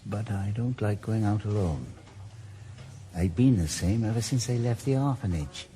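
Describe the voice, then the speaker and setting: A man's voice: fairly low pitch, normal speed, mellow, lightly acted. A man playing a retired ex-detective with a Welsh accent, speaking with a dry, wry sarcasm. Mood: joking. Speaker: lead actor. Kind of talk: 1980s radio drama acting. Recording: noisy location recording.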